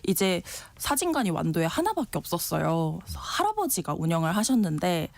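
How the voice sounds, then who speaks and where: A woman's voice: lowish voice, sing-song, a little fast, vocal fry, no echo. A woman in her twenties from Wando, answering interview questions in a friendly way and laughing often. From South Korea, Wando. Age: 20s. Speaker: interview guest, village head. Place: radio studio.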